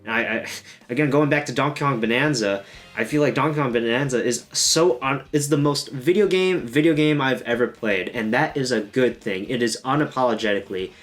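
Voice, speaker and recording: A man's voice: mid-range voice, brisk, a bit bright. Male gaming host, casual video essay delivery, joking and self-deprecating, with faint guitar music underneath.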